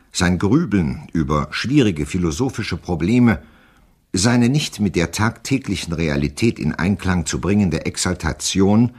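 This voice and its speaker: An actor playing a pedantic psychiatrist who reads a formal clinical opinion in an audio drama, with a deep, husky voice. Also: male voice, a little mellow, full character voice. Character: pedantic male psychiatrist delivering a learned expert opinion. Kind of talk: dramatic reading of a medical report in an audio drama.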